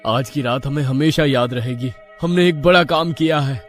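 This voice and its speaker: A man playing a determined young adventurer in a scripted audio story, earnest and deliberate. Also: mid-range voice, fairly even intonation, faint music behind, lightly acted. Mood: triumphant.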